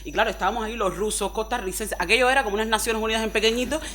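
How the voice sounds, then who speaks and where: A woman from Cuba with a Cuban Spanish accent, speaking on a forum panel in an expressive, chatty way, often ending phrases with quick tag questions. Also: fairly high pitch. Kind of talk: panel discussion talk.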